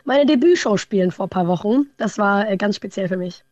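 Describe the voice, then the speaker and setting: A slightly hoarse woman from Hamburg, a singer chatting as a guest in a casual interview, rambling with quick asides. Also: mid-range voice, lively intonation, darkish tone, no room sound, lo-fi sound. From Hamburg, Germany. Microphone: remote connection.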